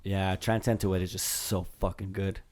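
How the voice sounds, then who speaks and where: A young man hosting a podcast, slightly hoarse, laid-back and casual as he wraps up an album review. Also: low-pitched, little pitch movement, moderate pace, a little mellow, a little hiss or hum. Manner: laid-back, laughs easily, swears casually. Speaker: podcast host. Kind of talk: casual chat.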